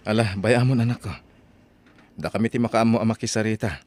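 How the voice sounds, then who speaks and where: A level-headed family man who advises his friend and comforts his child, as a man acts him out. His voice sits on the low side. He talks calmly in this audio-drama role. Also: brisk, no echo, close to an everyday voice. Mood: concerned. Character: steady older family man, friend and father.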